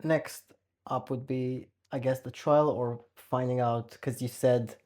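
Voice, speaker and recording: A man's voice: medium pitch, fairly even intonation, slow, darkish tone. A man from Romania with a Romanian accent, hosting a podcast interview in a conversational style. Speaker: podcast host.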